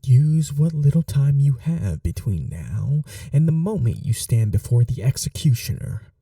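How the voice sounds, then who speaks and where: Guy acting as evil-ish young prince, asmr roleplay, gravelly low-lit voice, formal n measured, pitch moves around a lot. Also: very animated, no echo, clean studio sound, distant mic. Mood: stern, menacing. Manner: formal, measured, hushed, imperious. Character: scheming young prince, commanding yet tender to his bride. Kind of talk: dramatic ASMR audio roleplay.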